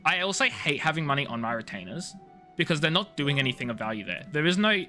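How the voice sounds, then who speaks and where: Very bright-voiced man, lively streamer. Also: fairly high pitch, fast talker, soft background music. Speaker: video game streamer. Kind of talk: casual live-stream chat with viewers.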